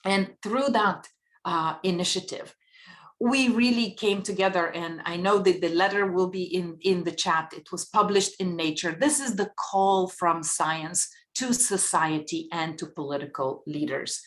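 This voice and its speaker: A woman from Bulgaria giving earnest, measured panel remarks and posing rhetorical questions. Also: fairly low pitch.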